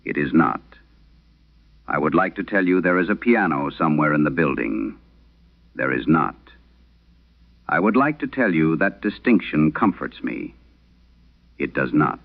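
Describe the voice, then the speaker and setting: An actor playing a grave, uneasy host of a horror radio show, narrating in a solemn, deliberate, ominous way. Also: male voice, rumbling low, big pitch swings, vocal fry, exaggerated voice. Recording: muffled vintage radio sound.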